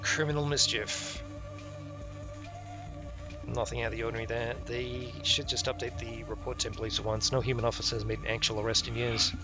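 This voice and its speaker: A man's voice: mid-range voice, flat delivery, normal speed. A man streaming a visual novel, reading the text aloud with irreverent, offhand asides. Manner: irreverent, ad-libs jokes and mutters reactions. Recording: music playing behind the voice. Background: game music.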